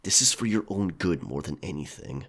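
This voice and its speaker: A man playing a stern, coolly menacing pirate quartermaster in an audio drama, with a measured, matter-of-fact drawl. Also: low voice, expressive, vocal fry, fairly bright tone, husky, full character voice. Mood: exasperated, firm.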